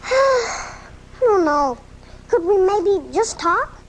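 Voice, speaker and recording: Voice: very high-pitched, big pitch swings, very slow, full character voice, low-quality recording. An actor voicing a sickly, lonely, eager schoolboy in a 1980s live radio drama, on a home recording taken off the air. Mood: wistful. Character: sickly, lonely, eager schoolboy who adores his dog.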